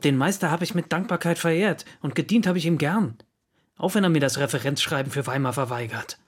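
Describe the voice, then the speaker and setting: An actor playing a defiant apprentice musician under suspicion in a period radio drama, defensive and insistent. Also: male voice, a little high, a little fast, natural voice. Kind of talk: German radio drama acting in period speech.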